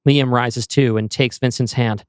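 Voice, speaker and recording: A man narrating a scripted screenplay in the present tense, with measured, descriptive storytelling. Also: medium pitch, fairly even intonation, darkish tone, clean tone, no echo, clean studio sound. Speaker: narrator and host.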